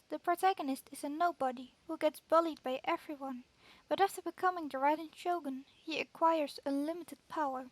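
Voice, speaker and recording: A woman streamer reading game text aloud steadily, sometimes restarting a word. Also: high voice.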